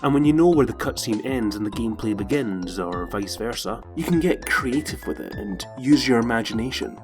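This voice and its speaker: A man's voice: medium pitch, expressive, a little fast, over music. A man delivering scripted narration as the presenter of a video essay.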